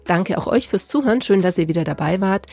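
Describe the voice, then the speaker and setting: Woman, podcast interviewer, lowish pitch, strong vocal fry, conversational hosting. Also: animated, fast talker, dark tone, soft background music.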